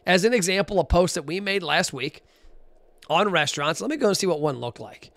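A man talks straight into a close, dry microphone with a somewhat high voice. He is blunt and animated, chatting casually and counting figures aloud as he goes. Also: fairly quick, no room sound. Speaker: podcast host. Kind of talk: casual solo podcast talk.

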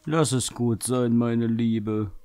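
A man's voice: mid-range voice, steady tone, slow, mellow, faint music behind, natural voice. A man acting a polite, composed young nobleman with formal, diplomatic speech in a fantasy audio drama. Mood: placating. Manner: courteous, smooth-talking, formal. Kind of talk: fan-made German fantasy audio drama.

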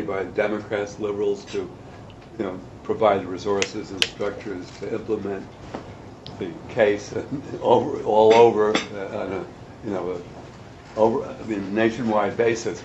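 Halting man in a muffled, noisy room. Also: lowish voice. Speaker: audience member asking questions. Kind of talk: spoken question from the floor.

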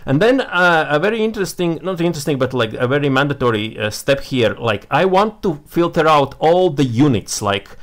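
A young man with a Latvian accent, a native Latvian speaker, walking through a software setup on a screen share in a small room, talking with many fillers and restarts. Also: on the high side, animated, brisk, hardly any pauses. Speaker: software tutorial presenter.

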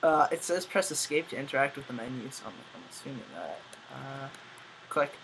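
A man's voice: medium pitch, animated, a little fast, a bit bright, a little hiss or hum, rough recording. A young man co-hosting a casual gaming video at home, jokey and reading screen text aloud for his friend. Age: young adult. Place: small home room, indoors.

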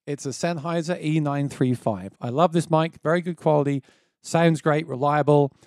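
A man's voice: moderate pace, darkish tone, no room sound. A man in his thirties hosting a casual music gear tutorial, explaining step by step with enthusiasm, on a close dynamic mic in a small home studio. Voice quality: describes his own voice as quite low. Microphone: dynamic mic on a boom close to the face.

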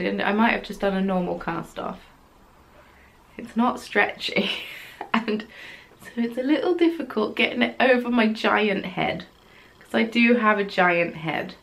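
Young woman, craft-room vlog, gravelly fry, chatty and self-deprecating, rambling with ums. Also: mid-range voice, normal speed, a bit bright. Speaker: knitting podcast host. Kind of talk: casual chat. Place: small craft room at home, yarn on the wall behind.

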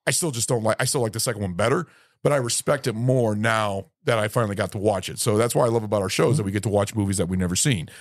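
A man co-hosting a movie podcast, hoarse from a recent flu, chatting casually and excitedly, swearing freely and tripping over words. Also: fairly low pitch, fast. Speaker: podcast co-host. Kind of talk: casual movie review chat.

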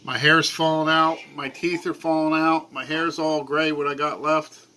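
A man in his forties playing dumb on a phone call, talking loudly and earnestly from a small room at home. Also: a little high, level tone, moderate pace, slight background noise. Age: 40s. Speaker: prank caller baiting a phone scammer. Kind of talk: deadpan prank phone call. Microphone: camera on a table, phone held to ear.

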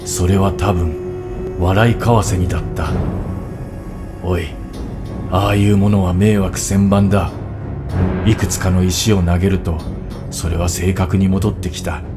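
A man playing a solemn, scheming bear warrior who narrates in a measured way in a game cutscene. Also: deep voice, flat delivery, over music, close to an everyday voice. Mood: solemn, brooding. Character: calm, scheming male bear warrior, recounting his plans. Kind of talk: Japanese scripted cutscene narration.

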